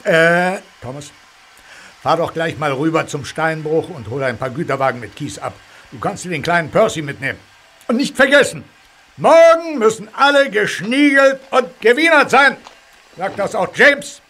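A man's voice: high voice, sing-song, normal speed, pushed and bright, heavily acted. An actor playing a fat, bossy, self-important male railway controller in a children's audio drama, blustering orders.

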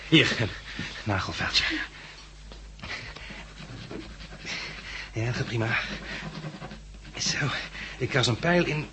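An actor playing a calm, protective male survivor of a catastrophe in a 1960s Dutch radio drama. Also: medium pitch, measured pace, lightly acted. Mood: calm, practical. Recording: faintly noisy 1960s radio recording.

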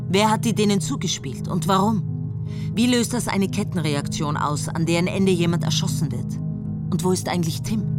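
A woman with an Austrian accent narrating a radio drama in first person and speaking as a brisk, impatient intelligence chief. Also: fairly low pitch.

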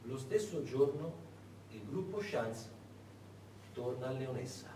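A man telling history as a dramatic stage monologue, emphatic, with pauses and stretched words. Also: medium pitch, animated. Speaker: stage actor and narrator. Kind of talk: theatrical historical storytelling, monologue. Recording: echoey stage, distant, faint noise.

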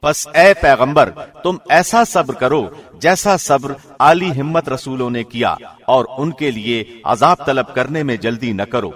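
Mid-pitched man reading scripture translation. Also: a bit bright. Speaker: translation reader, radio host.

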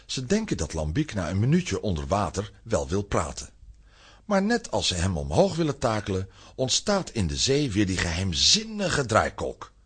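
A man's voice: on the low side, huge pitch swings, moderate pace, a bit bright, breathy edge. A man narrating a children's radio play in a scripted, dramatic storytelling style.

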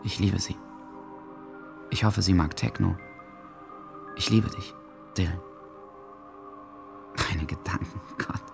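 A man playing a troubled, lovesick teenage boy in a radio drama, speaking his diary in a brooding, introspective, measured way. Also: sits low, creaky, music in the background, heavily acted. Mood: yearning. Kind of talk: radio drama monologue, intimate diary reading.

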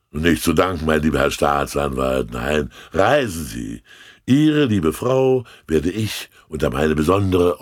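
A man's voice: low-pitched, a little mellow, no room sound, studio quality, heavily acted. An actor playing a sly, condescending state official in a German radio play, suave and mockingly polite as he questions people. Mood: smooth, smug.

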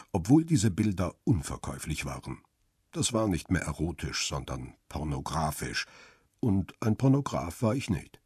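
Deep husky cynical paparazzo narrator. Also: male voice, a little mellow, no echo, clean studio sound, heavily acted. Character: male paparazzo photographer, cool, cynical, guarded first-person narrator. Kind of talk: first-person crime radio drama narration and dialogue.

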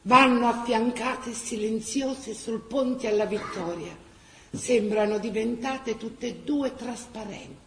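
Radio drama: earthy female Tuscan storyteller, higher-pitched, theatrical and expressive, echoing room. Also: slow pace. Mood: tense. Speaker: actress-narrator. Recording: roomy stage sound, faint background noise.

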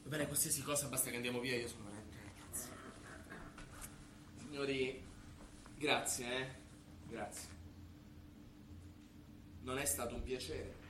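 An actor playing an anxious, sarcastic, pompous journalist in a theatrical comedy. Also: male voice, mid-range voice, fairly even intonation, a little slow, pauses often, natural voice. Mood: worried, exasperated. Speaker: stage actor. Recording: echoey, noisy theatre, distant mic.

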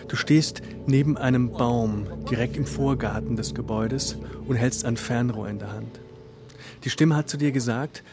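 A man narrating a radio drama, addressing the listener directly in vivid, descriptive present tense. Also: medium pitch. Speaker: performer and narrator in an improvised radio play. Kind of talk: second-person storytelling in a radio drama. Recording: music playing behind the voice. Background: music.

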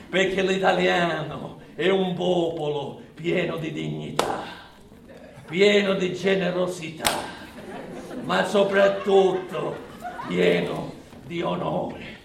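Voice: mid-range voice, creaky, reverberant, distant mic, noisy background. An actor playing a bigoted, self-important nationalist video blogger who declaims with coarse, emphatic repetition in a theatrical satirical monologue. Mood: proud, scornful.

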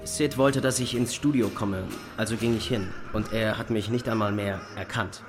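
An actor playing a rueful former colleague reminiscing plainly in a German radio play. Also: male voice, lowish voice, fairly even intonation, normal speed, close to an everyday voice. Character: rueful male former colleague recalling a troubled musician. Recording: music behind the voice.

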